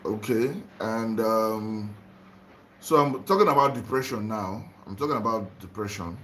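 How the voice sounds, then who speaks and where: A young man from Nigeria who has just woken up, livestreaming about spirituality in a slow, repetitive way and greeting viewers by name. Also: fairly low pitch, a bit bright, slight background noise. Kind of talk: casual livestream talk on spirituality.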